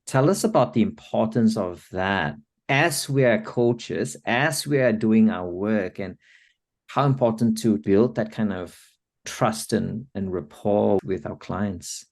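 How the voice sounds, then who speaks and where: Male interview host, low voice, very slow measured pacing, encouraging tone, thoughtful mid-sentence pauses. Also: creaky. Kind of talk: conversational interview hosting.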